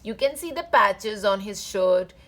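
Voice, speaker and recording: Woman doing kids read-aloud, slow pace, really animated up and down, simple explaining. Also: medium pitch. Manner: simple, explanatory storytelling to young listeners. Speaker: storyteller reading aloud for children. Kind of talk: read-aloud children's storybook narration with simple asides.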